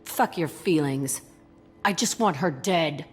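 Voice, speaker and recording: A woman's voice: low-pitched, takes it slowly. A woman voicing an angry, scarred young ex-prisoner in a video game, blunt, profane and combative. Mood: hostile. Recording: music behind the voice.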